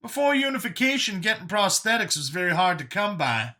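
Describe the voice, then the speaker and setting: A man voicing an excitable, easily shocked doctor in an audio story, high and strained, with halting, exclaiming speech. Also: full character voice. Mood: earnest recalling. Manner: exclaiming, halting when searching for words.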